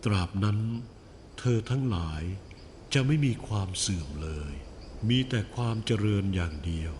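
An actor voicing the Buddha as a calm male teacher, giving a solemn and measured admonition to his monks. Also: on the low side, slight background noise, close to an everyday voice. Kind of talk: animated film dialogue.

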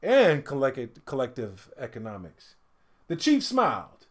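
A middle-aged man reading a picture book aloud in an animated, dramatic storytelling style, pausing to speak to listeners. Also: medium pitch. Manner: expressive, dramatic swells and hushed asides to listeners. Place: indoor home studio room.

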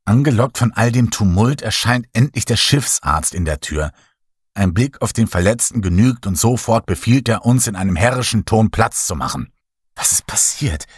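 Male horror narrator, breathy, very expressive pitch, dry close mic. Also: normal speed, no echo. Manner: dramatic first-person storytelling, lively voiced dialogue. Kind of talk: horror audiobook reading.

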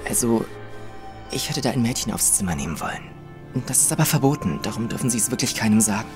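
Young working-class lad, guarded, plain-spoken, radio drama, music underneath. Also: male voice, on the low side, animated, fast. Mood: confiding. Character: young working-class man, Emma's brother. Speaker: witness.

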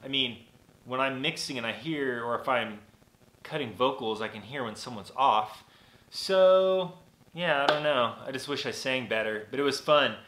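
A man's voice: medium pitch, normal speed, echoey room, slight background noise, poor audio. A man in his forties with a nasal voice, chatting casually and rambling through a live stream from his home recording studio. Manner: rambling, relaxed, many fillers and restarts. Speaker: vlogger and mixing engineer. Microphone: camera on desk.

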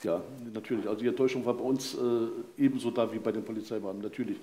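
An older man, a forensic pathologist, explaining casework on a live stage in a matter-of-fact, halting way. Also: fairly low pitch, steady tone, warm tone. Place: vaulted venue, live audience on stage. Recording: roomy live stage sound, faint noise.

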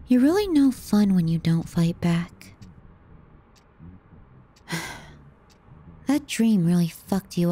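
A woman playing a teasing, callous, clingy younger sister in an intimate ASMR roleplay, sardonic and often hushed. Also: medium pitch. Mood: reluctantly caring. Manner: sardonic, needling, dropping into hushed murmurs.

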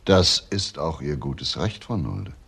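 An actor playing a probing, insistent police detective with a gravelly voice in a crime drama. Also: male voice, extremely low pitch, expressive, takes it slowly, fairly bright tone, heavily acted. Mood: grave, measured. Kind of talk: German TV crime drama dialogue.

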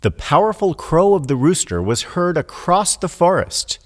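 A man's voice: on the high side, sing-song, normal speed, big-room sound. A man narrating a children's fable as a scripted storyteller.

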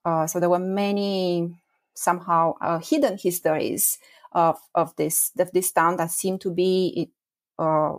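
A woman's voice: on the low side, little pitch movement, a little slow. A woman in her forties from Romania, a history professor answering interview questions thoughtfully, with many ums and uhs. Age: 40s.

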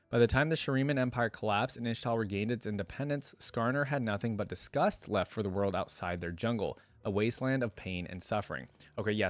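Male, young adult, lowish voice, dry close-mic sound; casual reaction host who reads aloud and riffs informally. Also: dry recording. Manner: informal, filler-heavy, thinking aloud. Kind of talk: casual reaction commentary, reading text aloud. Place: indoor room at a streaming setup. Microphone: close desk microphone.

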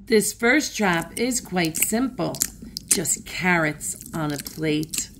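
A woman's voice: fairly low pitch, lively intonation, slow pace, noisy background. A woman narrating a children's picture book aloud as a storybook reader.

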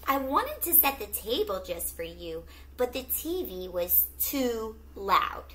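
A middle-aged woman teacher reading a picture book aloud to children, with expressive, drawn-out storytelling and questions to the young listeners. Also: fairly high pitch. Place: small indoor room at home with plants.

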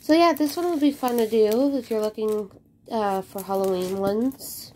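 A woman's voice: fairly high pitch, moderate pace, slight background noise. A woman chatting casually as she unboxes craft kits at home, a little congested from a cold, rambling with plenty of ums. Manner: relaxed, rambling with many ums. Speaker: crafting vlogger. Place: indoor craft room at home.

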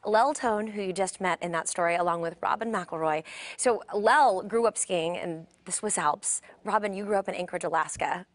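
A woman's voice: fairly low pitch, moderate pace, no room sound. A woman in her thirties hosting a morning television show, chatting upbeat with guests on a studio set. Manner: chatty, upbeat, some restarts. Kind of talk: live studio interview.

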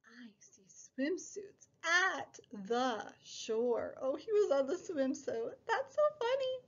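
Young female preschool read-aloud host: very lively sing-song, unhurried, slightly dull recording. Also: high voice. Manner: sing-song, exaggerated child-directed delivery, drawn-out words. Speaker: preschool story time host and teacher. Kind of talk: picture book read-aloud for small children.

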